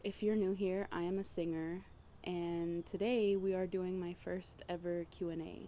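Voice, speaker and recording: Young woman, mellow low-ish voice, chatty and giggly, casual vlog at home, faint hum behind. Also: flat delivery, moderate pace. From United States. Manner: chatty, giggly, rambling with fillers. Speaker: singer and channel host.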